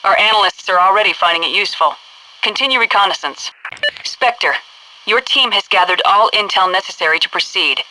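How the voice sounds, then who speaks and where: American military hq woman on the radio, super crisp forceful tone, clipped and bossy game briefing, kinda distant. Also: fairly low pitch, dry recording. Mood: stern. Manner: clipped, authoritative, formal. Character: composed, commanding female military headquarters officer. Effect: radio filter. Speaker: mission control officer.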